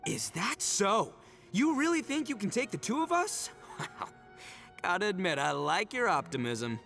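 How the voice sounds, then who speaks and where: Loud, high-pitched, brash young gang boss. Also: male voice, sing-song, moderate pace, gravelly, soft background music, heavily acted. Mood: cocky, goading. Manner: cocky, blustering, theatrical.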